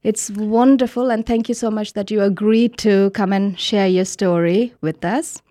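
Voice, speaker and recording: A woman's voice: mid-range voice, fairly even intonation, normal speed, warm tone. A woman from Sri Lanka hosting a studio interview, talking casually with fillers. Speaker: host. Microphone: studio mic.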